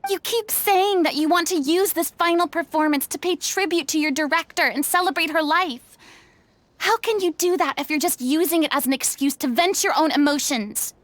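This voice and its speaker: A woman's voice: sits high, moderate pace, a bit bright. An actress playing a commanding young woman in a video game, stern and lecturing as she scolds others.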